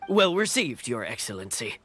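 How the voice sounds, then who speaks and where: An actor playing an earnest military subordinate in a video game, speaking in a formal reporting manner. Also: male voice, mid-range voice, sing-song, normal speed, bright, forceful tone, slightly rough, exaggerated voice. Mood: urgent, dutiful.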